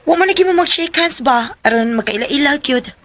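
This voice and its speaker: A woman's voice: high-pitched, expressive, moderate pace, fairly bright tone, no echo. A woman acting a breezy, teasing teenage schoolgirl friend in a radio drama, with a Cebuano accent. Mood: brisk, teasing.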